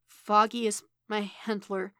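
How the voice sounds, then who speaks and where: A woman voicing a distressed, earnest young man in a story, halting, choked and pleading. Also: fairly high pitch, fairly even intonation, no echo, studio quality, voice pushed up. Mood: choked, defiant.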